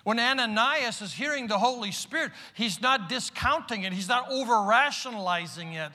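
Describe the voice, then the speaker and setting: A man preaching a sermon as pastor, moving from loud emphatic calls to quiet, hushed asides. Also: very high voice, lively intonation, normal speed. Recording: long reverb of a large hall.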